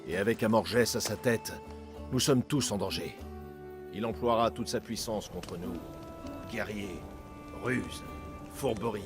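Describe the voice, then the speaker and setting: Weary old warrior dad in a video game, deep grave voice, takes his time. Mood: grave warning. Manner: solemn, measured. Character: Darius, weary older Persian warrior father, grave and haunted. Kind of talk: dramatic video game acting, French dub.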